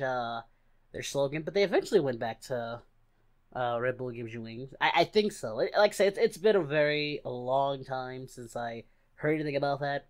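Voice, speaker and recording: A woman voicing an upbeat young male cartoon fighter, rambling and exclaiming through casual reaction commentary. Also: low-pitched. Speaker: reaction video host.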